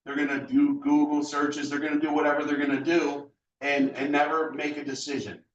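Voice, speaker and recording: Laid-back man pitching in an echoey room. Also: level tone, fairly quick. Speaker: sales rep addressing guests. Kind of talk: relaxed sales pitch. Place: meeting room with an audience. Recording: dull, echoey room, far from mic.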